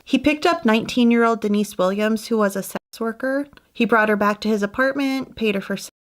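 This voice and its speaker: A woman's voice: fairly high pitch, a little fast. A woman hosting a true crime channel, narrating a scripted case story in a matter-of-fact way.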